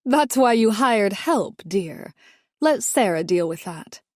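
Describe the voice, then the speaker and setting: A woman's voice: medium pitch, animated, takes it slowly, darkish tone, no room sound, studio quality. A woman voicing a wealthy older mother-in-law who talks in a sugary, condescending way, calling everyone dear and darling. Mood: sweetly condescending.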